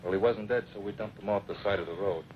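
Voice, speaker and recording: A man's voice: on the low side, fast talker, close to an everyday voice. An actor playing a callous smuggler's henchman in an old radio drama, talking in a casual, slangy way. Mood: callous, reporting. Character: callous gruff smuggler henchman and truck driver. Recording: muffled, noisy old radio recording.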